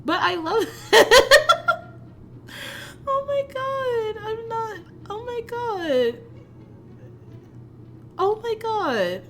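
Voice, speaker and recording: A woman streamer reading a story game aloud and reacting to it in an excitable, flustered way, often giggling. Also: very high-pitched, extremely slow, pauses often, soft background music.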